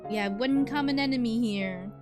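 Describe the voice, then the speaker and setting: A woman's voice: on the high side, moderate pace. A woman streamer giving casual, chatty gaming commentary with a playfully sarcastic manner. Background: loud game music.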